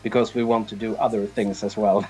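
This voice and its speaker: A man's voice: lowish voice, little pitch movement, fairly quick, darkish tone, poor audio. Easygoing middle-aged Swedish man, music behind. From Sweden. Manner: hesitant, easygoing. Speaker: interviewed band drummer. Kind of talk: casual interview answers.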